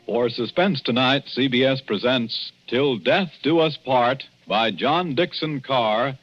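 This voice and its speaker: A man makes a formal 1940s radio announcement at a slow, declamatory pace. The recording is old and dull. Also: medium pitch.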